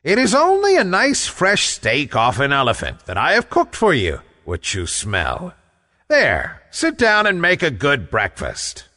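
Guy doing a loud bossy giantess from a storybook, overworked, theatrical, swoops up and down. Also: fairly high pitch, wildly swooping pitch, measured pace, big-room sound, exaggerated voice. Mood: scolding. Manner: domineering, theatrical. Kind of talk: storybook character voice.

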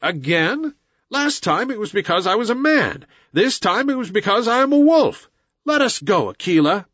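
A man's voice: high voice, big pitch swings, moderate pace, a bit bright, dry recording, highs cut off, voice pushed up, full character voice. A man voicing a bold, proud young jungle boy in a storybook, with lofty, declamatory archaic phrasing. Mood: urgent, bitter.

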